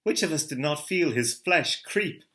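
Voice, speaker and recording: A man, a theater director, reading a classic novel aloud with an expressive, theatrical delivery. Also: a little high, a little slow. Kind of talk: dramatic literary reading.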